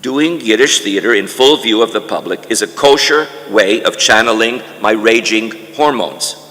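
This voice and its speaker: A man's voice: lowish voice, normal speed, pushed and bright. Older man lecturing in a reverberant hall. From Canada. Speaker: guest lecturer reading a memoir. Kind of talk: scripted autobiographical lecture. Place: large indoor conference hall. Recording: echoey hall with long reverb.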